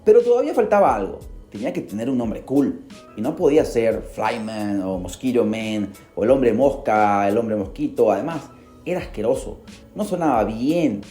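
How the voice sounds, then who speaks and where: A man hosts a podcast and narrates a story in a dramatic, animated way. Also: mid-range voice, moderate pace. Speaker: podcast host. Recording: roomy echo. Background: faint music.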